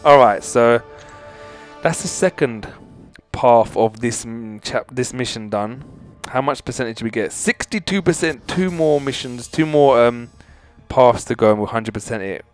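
Excitable young man rambling over gameplay. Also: mid-range voice, a little slow, faint music behind. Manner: excitable, rambling, loud exclamations. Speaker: gaming channel host. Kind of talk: excited mobile game commentary.

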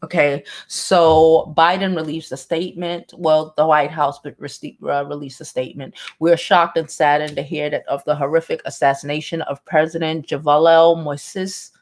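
A woman's voice: low-pitched, flat delivery, normal speed, hardly any pauses. A woman, casually and bluntly commenting on news and reading it aloud from a home studio, with sighs and profane asides. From Brooklyn, New York. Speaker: celebrity news vlogger. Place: home studio with neon sign, indoors.

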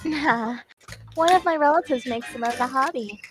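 Woman as folksy game stallholder, very high voice, cheerful, salesy, music in the background. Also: moderate pace, close to an everyday voice, low-quality recording. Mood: friendly, salesy. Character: cheerful, folksy market stallholder selling clay figurines. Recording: music mixed behind the voice.

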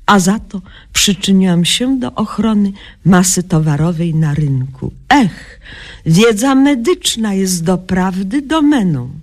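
A woman's voice: medium pitch, expressive, takes it slowly. An actress playing a self-important, cheerfully incompetent young woman doctor in a satirical radio sketch, mock-solemn and pompous, mangling learned words. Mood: smug, solemn.

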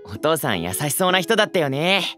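A man's voice: on the high side, very animated, brisk, bright, forceful tone, soft background music. An actor playing an earnest, easygoing teenage boy idol in an audio drama, youthful and good-natured. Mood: friendly, cheerful. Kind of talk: Japanese audio drama acting.

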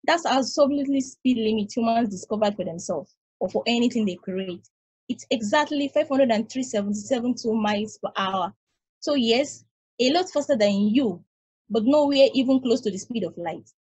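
A woman voicing an ancient, weary space probe, reading calmly and explaining at length in a table read over a video call. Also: a little high, moderate pace, husky, no room sound, low-quality recording. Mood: lecturing. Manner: calm, reasoning, explaining at length.

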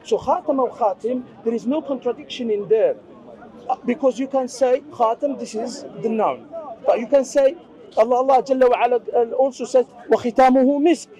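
Man, arabic first language, very high pitch, mellow tone, insistent probing questions. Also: a little fast, poor audio. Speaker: Islamic debater. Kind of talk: street debate. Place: outdoor park.

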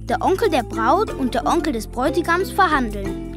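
An actress playing a curious, matter-of-fact child in a children's radio play. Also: female voice, sits high, lively intonation, moderate pace, music in the background. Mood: explaining. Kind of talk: children's radio drama acting.

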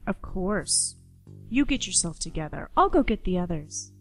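Woman playing calm creepy polite kidnapper lady in a radio play, music in the back. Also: lowish voice, normal speed. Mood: coolly polite. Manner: composed, politely menacing. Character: calm, scary woman captor, coolly polite.

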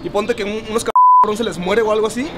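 A young man with a Mexican accent, chatting in a rapid, slangy and opinionated way. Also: high-pitched, fairly even intonation. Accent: Mexican Spanish. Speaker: friend. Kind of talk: casual chat. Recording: street noise.

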